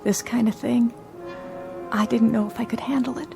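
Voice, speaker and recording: Young rookie flight attendant, frazzled, fairly high voice, vocal fry, unsure, radio drama. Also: female voice, fairly even intonation, moderate pace, music in the background. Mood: weary, confiding.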